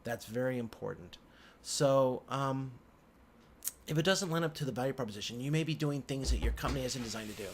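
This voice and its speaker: A man giving an informal tutorial as an instructor, thinking aloud in halting phrases with frequent restarts. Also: medium pitch. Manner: halting, hesitant phrasing with repeated word restarts. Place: indoors at a computer. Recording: clear background noise, keyboard typing.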